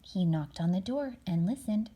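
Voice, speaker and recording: A woman reads a children's story as a mother would to her child. Her voice is soft-toned, slightly husky and very animated. She is dramatic, slipping into hushed tones to build suspense. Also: medium pitch, normal speed. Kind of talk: expressive children's story reading.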